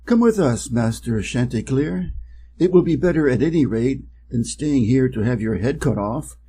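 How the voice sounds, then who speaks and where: A man's voice: medium pitch, lively intonation, moderate pace, dark tone, slight background noise, natural voice. A man with an American accent voicing a friendly, encouraging old donkey in a storybook, hearty and courteous.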